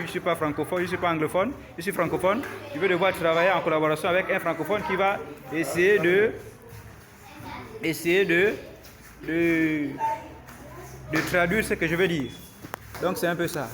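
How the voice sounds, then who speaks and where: A man coaching self-defense, speaking casually and haltingly to his followers from a karate dojo and repeating words as he goes. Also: a little high. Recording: loud crowd noise behind. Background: crowd of young students.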